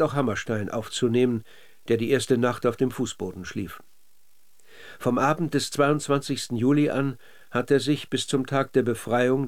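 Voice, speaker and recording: A male narrator with a standard German accent and a medium-pitched voice. He reads scripted documentary drama for radio, measured and factual throughout. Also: a little mellow.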